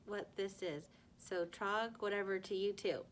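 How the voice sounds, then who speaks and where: Female reader as storybook middle-school boy, mid-pitched, mouthy, defiant, quick with retorts. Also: fairly even intonation, slight background noise, natural voice. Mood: snappish, retorting. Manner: retorting, snappish.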